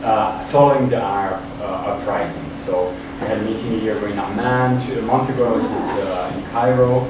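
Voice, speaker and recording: A man's voice: lowish voice, a loud crowd. Swiss male academic in an echoey room. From Switzerland. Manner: halting, searching for words. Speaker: academic guest speaker. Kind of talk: informal conference talk, improvised from notes. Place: university room. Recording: echoey room, distant mic, noisy.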